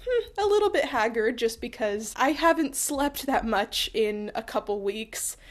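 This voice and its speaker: A woman's voice: fairly high pitch, lively intonation, measured pace. Stuffed-up woman rambling about history. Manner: rambling, self-deprecating, wry asides. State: stuffed up from a cold. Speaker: history video host. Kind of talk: casual history explainer. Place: small apartment room.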